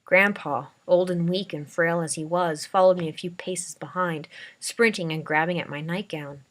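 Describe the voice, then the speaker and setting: A woman's voice: fairly low pitch, steady tone, normal speed. A woman reading a dramatic first-person story aloud as an amateur narrator.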